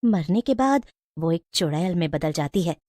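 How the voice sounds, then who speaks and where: A woman's voice: on the low side, expressive, moderate pace, dark tone, no room sound. Woman narrating a moral tale, dry sound. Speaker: story narrator. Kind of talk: scripted moral story narration.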